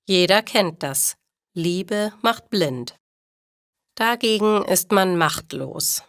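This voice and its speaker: A woman's voice: mid-range voice, expressive, slow, no echo, studio quality. A woman voicing an amused, easygoing father in a storybook, wry and philosophical.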